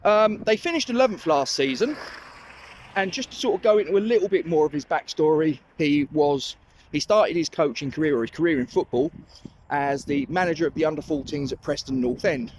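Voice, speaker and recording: London bloke rambling on a dog walk, slightly high voice, bit of outdoor hiss. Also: male voice, a little fast. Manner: chatty, rambling, self-correcting. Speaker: fan channel host. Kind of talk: casual monologue while walking the dog.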